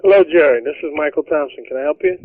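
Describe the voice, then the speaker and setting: A man's voice: a little high, animated, fast talker, vocal fry, no room sound. A man speaking as a lawyer on a phone call, polite, hesitant and cautious. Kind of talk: phone conversation. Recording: tinny narrowband phone line.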